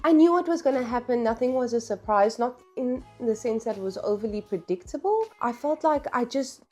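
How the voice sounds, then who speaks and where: A woman's voice: medium pitch, darkish tone. Female book vlogger, mid-range voice, casual and slangy, frank and emphatic opinions, music underneath. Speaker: book reviewer, vlog host. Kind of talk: casual, chatty book review vlog.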